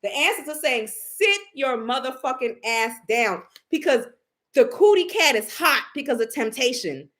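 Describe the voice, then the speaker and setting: Brash, profane high-voiced woman. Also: big pitch swings, normal speed, fairly bright tone. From Haitian family. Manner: brash, profane, sassy, emphatic. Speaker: psychic reader. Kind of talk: casual tarot reading chat.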